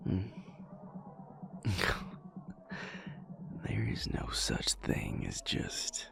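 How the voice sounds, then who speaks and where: A man playing a dry, affectionate, stubborn vampire boyfriend in an intimate audio roleplay, speaking in a gravelly, breathy murmur with a teasing drawl. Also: very slow, in a whisper. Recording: loud music behind the voice. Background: club music.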